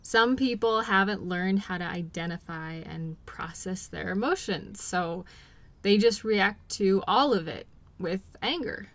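A woman playing a caring, patient girlfriend in an intimate role-play audio, speaking gently and reassuringly to comfort her partner. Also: medium pitch, lively intonation, measured pace. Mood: calm, thoughtful.

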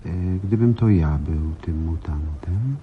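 An actor in a radio play voicing a menacing mutant posing as a police deputy, taunting and deliberate. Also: male voice, a bass voice, narrow, tinny audio, noisy background. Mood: probing, sinister. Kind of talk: Polish radio play acting.

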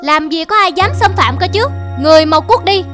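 A woman's voice: very high voice, fairly quick. A woman voicing a boastful, greedy male monkey in a cartoon who bosses the other animals. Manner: haughty, bossy, threatening. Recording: voice over loud music.

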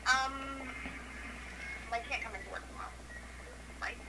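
A woman's voice: on the high side, moderate pace, bright, forceful tone. A woman working in a shop, talking casually and hesitantly on a speakerphone call, asking puzzled questions. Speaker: shop employee answering a phone call. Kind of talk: casual phone conversation. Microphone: phone speaker picked up by camera. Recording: noisy phone call on speakerphone.

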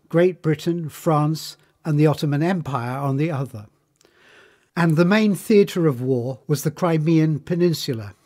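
A man reading a scripted documentary narration in a formal, measured manner. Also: on the high side, darkish tone.

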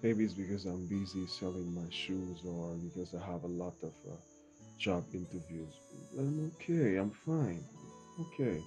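A man's voice: deep voice, unhurried, a little mellow, soft background music. An actor playing an evasive, defensive young man who keeps brushing off his partner's questions. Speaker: boyfriend under pressure.

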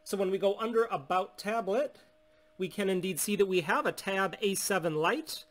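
A man in his thirties from the United States, a steady, salesy business owner explaining a tablet unlock to camera in a small room. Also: high-pitched, normal speed. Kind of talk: scripted product demonstration. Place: small indoor room at home.

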